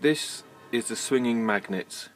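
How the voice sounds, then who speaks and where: A man giving a measured, matter-of-fact technical demonstration, as if presenting equipment from a script. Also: mid-range voice, soft background music, low-quality recording.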